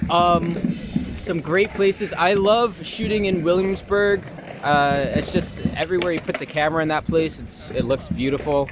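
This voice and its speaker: A man's voice: on the high side, moderate pace. A young man chatting casually in an interview, hesitating often and using filler words. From New York. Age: young adult. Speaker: interview guest, actor and filmmaker. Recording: noisy outdoor city traffic.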